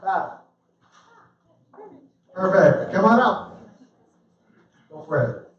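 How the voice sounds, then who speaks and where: A man hosting a live meetup, calling speakers up in a brisk, joking way. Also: high-pitched, expressive. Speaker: meetup emcee. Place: meetup room indoors. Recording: echoey room, distant dull mic, faint hiss.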